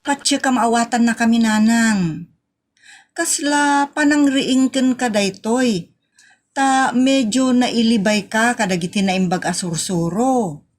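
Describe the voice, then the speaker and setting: A woman's voice: on the high side, takes it slowly. A woman with a northern Philippine accent acting a daughter who earnestly reproaches and pleads with a parent in a comedy audio drama. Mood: pleading. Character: grown daughter earnestly reproaching her mother.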